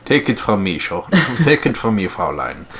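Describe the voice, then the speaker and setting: Low-pitched man on a let's play. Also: sing-song, moderate pace, a bit bright, a little hiss or hum. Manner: sweary, joking. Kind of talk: casual gaming banter, reading game text aloud.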